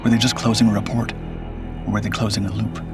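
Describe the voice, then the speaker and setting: A man narrating a scripted first-person suspense story in a measured, grave storytelling manner. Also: fairly low pitch, little pitch movement. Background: background music.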